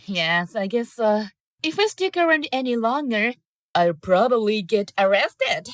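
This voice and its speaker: A woman acts out a young, inquisitive heroine of a radio play, polite and eager to help. Her high voice is very lively and unhurried, over a music bed. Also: no echo, clean studio sound. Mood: curious, hesitant. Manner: bright-eyed, inquisitive, helpful. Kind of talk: audio drama acting.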